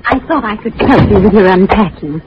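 A woman's voice: mid-range voice, lively intonation, a little fast, noisy background, lightly acted. An actress in a 1940s radio drama playing a forthright, curious unmarried landlady with a chatty manner. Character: brisk, curious, self-described old maid landlady. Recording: dull vintage radio recording.

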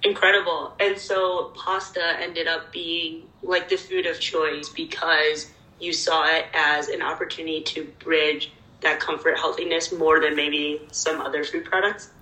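Low, flat-voiced woman host. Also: unhurried, reverberant, rough recording. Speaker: podcast host. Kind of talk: casual interview questions.

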